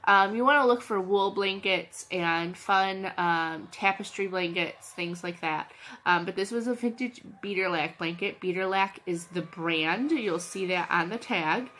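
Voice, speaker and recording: A woman's voice: on the low side, a little slow, a bit bright, slight background noise. A woman with a cold chatting casually to her channel's viewers, scattered and full of ums and restarts. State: has a cold. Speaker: channel host, reseller. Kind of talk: casual vlog chat.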